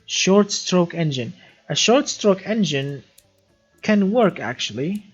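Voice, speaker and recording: A man's voice: high-pitched, expressive, takes it slowly, husky, muffled recording, soft background music. Chatty young man streaming a game. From Syria. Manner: chatty, repeats words and restarts often, reads chat aloud. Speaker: livestream gaming host. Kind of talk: casual live-stream chat reading comments.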